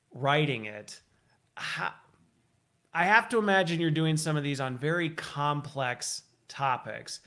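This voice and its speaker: Inquisitive man with a bright, forceful voice. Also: medium pitch. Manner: talkative, inquisitive, recaps and checks back. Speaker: show host and interviewer. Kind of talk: conversational interview, thinking aloud.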